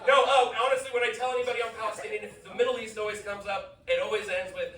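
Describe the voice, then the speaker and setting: A man's voice: high-pitched, fairly even intonation, rapid-fire, very noisy. A young man performing a rambling, self-deprecating stand-up comedy set, full of fillers and restarts, in front of a live audience. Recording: live comedy club, roomy and distant.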